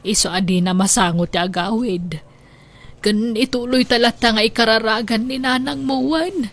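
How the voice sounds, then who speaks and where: A woman acting an elderly, devout grandmother in a radio drama, gentle and sighing as she consoles and worries. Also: fairly high pitch, normal speed. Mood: wistful, consoling.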